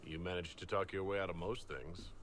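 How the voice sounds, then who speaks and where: Echoey, lowish-voiced fantasy hero. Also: male voice, fairly even intonation, a little fast, a bit bright, a little hiss or hum. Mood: reassuring, earnest. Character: steady, reassuring male fantasy leader, the player's hero. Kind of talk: fantasy video game dialogue. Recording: roomy echo, game music behind.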